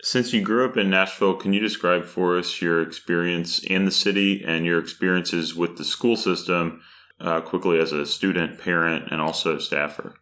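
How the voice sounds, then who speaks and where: A man hosting a podcast, reading a scripted intro and asking polite, measured questions. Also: sits low, fairly even intonation, pushed and bright. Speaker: podcast host.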